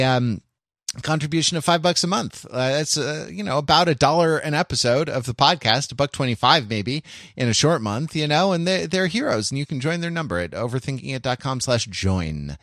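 A man hosting a casual panel podcast, talking in a halting, rambling way and often interrupting himself. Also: a little high, fairly bright tone, no echo, studio quality. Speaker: podcast host.

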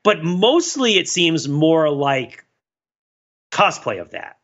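A man's voice: fairly high pitch, big pitch swings, takes it slowly, a bit bright, highs cut off. A man co-hosting a podcast in casual conversation, talking in a wry, discursive way. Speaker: podcast co-host.

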